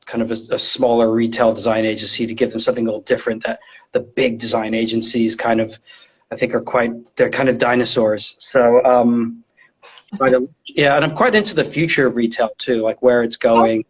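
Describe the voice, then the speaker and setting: A man's voice: mid-range voice, steady tone, brisk, husky, rough recording. A middle-aged man speaking as an interview guest, explaining his field in an informal, conversational way and often repeating words as he thinks. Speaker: guest, retail design and visual merchandising specialist.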